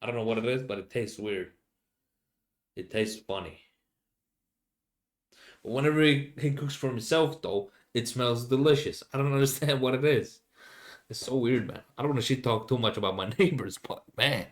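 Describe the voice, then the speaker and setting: A young man from Finland with a Finnish accent, speaking English as a second language, rambling casually as a solo podcast host and often pausing to search for words. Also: medium pitch. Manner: halting, many fillers and restarts, searching for English words.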